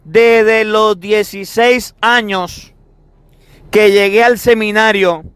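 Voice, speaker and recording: High-pitched male preacher in echoey room. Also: steady tone, slow pace, a bit bright, clear, resonant tone. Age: 30s. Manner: emphatic, repetitive, with rhetorical questions. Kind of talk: sermon on the Gospel.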